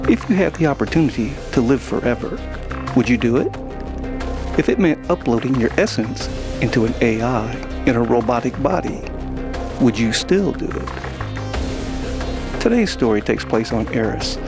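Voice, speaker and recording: Male anthology host, mid-pitched and gravelly, scripted theatrical introductions with clear music underneath.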